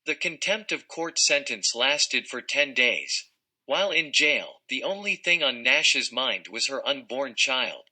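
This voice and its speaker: A man narrates a written text in a scripted read-aloud style. His voice is very bright and crisp-edged, with a forceful delivery at an average pitch. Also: expressive, unhurried. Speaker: narrator reading an article.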